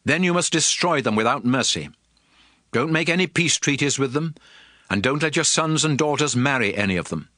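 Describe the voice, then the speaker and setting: A man's voice: medium pitch, expressive, normal speed, pushed and bright, dry recording, studio quality. An actor playing a stern, fervent prophet who addresses his people in a solemn, emphatic, declamatory dramatized scripture reading. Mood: stern, commanding. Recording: music under the voice.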